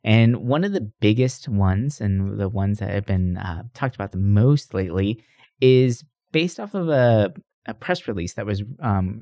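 A man's voice: fairly low pitch, darkish tone, no room sound, clean studio sound. A man hosting a podcast, talking through gaming news in a casual, conversational way and often tagging on questions to check agreement. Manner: conversational, rhetorical tag questions. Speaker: podcast host.

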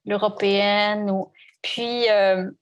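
A woman's voice: mid-range voice, flat delivery, slow pace. A woman violinist from Canada, in her thirties, talking reflectively in an interview, hesitant with many restarts. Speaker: violinist guest. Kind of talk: conversational interview.

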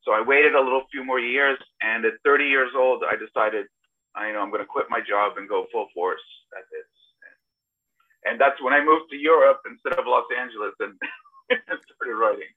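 A man's voice: medium pitch, fairly even intonation, normal speed. A middle-aged man from Serbia and Toronto, a screenwriter guest answering casually with personal anecdotes over an internet call. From born in Serbia, grew up partly in Toronto, Canada. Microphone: video call. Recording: thin, phone-like internet call audio.

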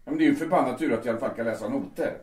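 A man plays a bitter, vengeful theatre colleague with a mid-pitched voice who taunts in a calm, sardonic, menacing tone. The recording sounds roomy and echoey. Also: close to an everyday voice. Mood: coldly menacing. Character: resentful male stage colleague, cold and vengeful. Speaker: actor playing Urban. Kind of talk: audio drama acting.

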